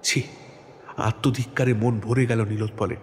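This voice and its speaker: A man's voice: on the low side, steady tone, normal speed. Male horror story narrator in heavy reverb. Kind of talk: dramatic scripted horror narration. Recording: long reverb, background noise.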